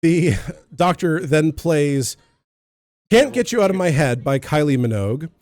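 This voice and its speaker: A man's voice: a little high, lively intonation, a little slow, darkish tone, dry recording. An American man co-hosting a casual discussion, explaining details and trivia in a knowledgeable way. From United States. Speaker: podcast co-host. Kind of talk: casual explanatory chat about a TV show.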